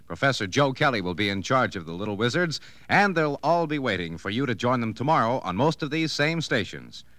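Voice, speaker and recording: Bright, animated male radio announcer. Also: mid-range voice, fairly quick, no echo. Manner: polished, formal promotional delivery. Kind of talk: 1950s network radio announcing.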